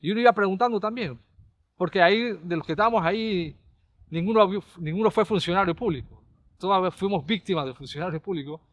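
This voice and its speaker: A middle-aged Panamanian man, a caricaturist and comedy writer, recalling his TV work in a relaxed, rambling way with many restarts. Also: high-pitched, brisk, no echo. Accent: Panamanian Spanish. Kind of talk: relaxed conversational storytelling.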